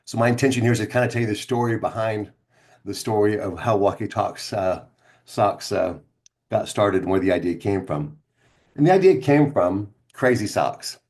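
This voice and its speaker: A middle-aged man with a fairly low voice talks in a casual, first-person storytelling style. He sounds like a practiced speaker presenting something of his own, chatting conversationally and putting weight on the words that matter. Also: normal speed, a little mellow.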